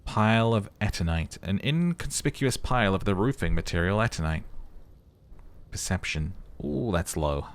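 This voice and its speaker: A man's voice: low voice, animated, moderate pace. A man hosting a video game playthrough, mixing casual muttered commentary with dramatic reading of game text. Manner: thinks aloud, muttering and humming to himself.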